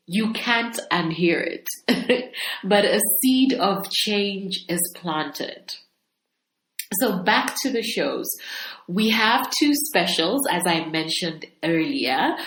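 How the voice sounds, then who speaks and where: A woman hosting a solo podcast, talking to listeners in a conversational, expressive way. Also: medium pitch. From Zambia. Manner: conversational, expressive, laughs often. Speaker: podcast host. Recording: echoey room, faint background noise.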